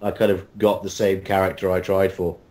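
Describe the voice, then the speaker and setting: A man chatting casually as a voice actor guest, with halting, hesitant phrasing. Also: low-pitched, little pitch movement, a little mellow. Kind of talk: casual chat.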